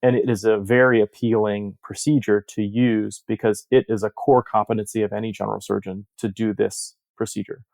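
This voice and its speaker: A man speaking as a surgeon and researcher in an interview, explaining in a measured way and posing rhetorical questions. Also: on the low side, level tone, creaky, darkish tone, dry recording. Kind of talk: interview discussion of surgical research.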